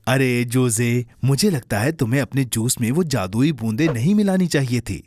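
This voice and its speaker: An actor voicing a patient, friendly companion in a children's cartoon dub, encouraging and gently advising. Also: medium pitch, animated, moderate pace, dry recording, studio quality, natural voice. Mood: reassuring.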